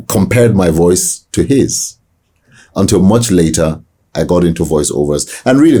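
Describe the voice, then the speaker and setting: A man who works as a voice-over artist with a deep voice, answering interview questions in a reflective way, often restarting his sentences. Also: mellow, breathy edge. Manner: reflective, hesitant restarts, slow emphasis.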